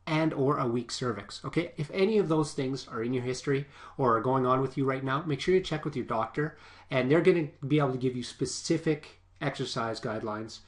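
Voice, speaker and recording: A man who works as a personal trainer gives casual fitness advice with a stuffy, sniffly voice, often checking in with a quick "okay?". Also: mid-range voice. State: stuffy, sniffly from a nasal infection.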